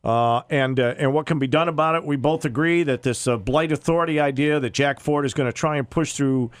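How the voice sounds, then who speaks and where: A man's voice: a little high, brisk, no room sound. An American man hosting a radio talk show, questioning and arguing emphatically in an opinionated live interview.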